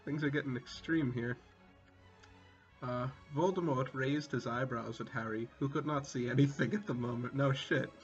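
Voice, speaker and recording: A man's voice: mid-range voice, steady tone, normal speed. Dull-sounding man doing amused dramatic reading over music. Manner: amused, often breaking into laughter; mock-dramatic delivery with swearing asides. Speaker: reader. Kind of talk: comedic dramatic reading with casual asides.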